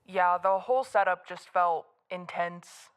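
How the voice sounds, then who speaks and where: A woman's voice: on the low side, measured pace, clear, resonant tone, dull audio, close to an everyday voice. An actress playing a guarded, matter-of-fact woman answering an interviewer in an audio drama. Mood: uneasy, critical. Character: guarded, unimpressed woman witness recounting to a reporter.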